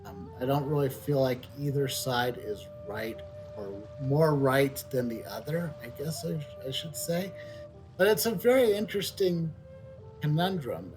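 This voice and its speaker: A middle-aged man giving casual, unscripted video game commentary, halting and reflective, repeating words as he thinks. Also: medium pitch, lively intonation, rough recording. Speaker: game streamer. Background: soft video game music.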